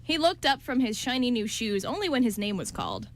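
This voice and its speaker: A woman's voice: fairly high pitch, animated, fairly quick. A woman narrating a scripted story as part of a radio play.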